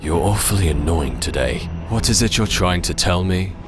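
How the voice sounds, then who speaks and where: A brooding demon warlord, acted by a man in a game fandub. He is cold and commanding, with a very wide-ranging, dramatic delivery. Loud music plays behind him. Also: deep voice, lively intonation, moderate pace. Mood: cold, scornful. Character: brooding half-demon warlord rallying his army. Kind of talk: anime game fandub acting.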